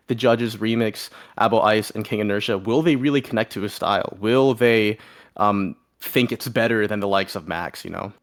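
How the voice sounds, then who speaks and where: A man co-hosting a podcast, giving casual opinions and analysis in a conversational way. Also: on the low side. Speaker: podcast co-host.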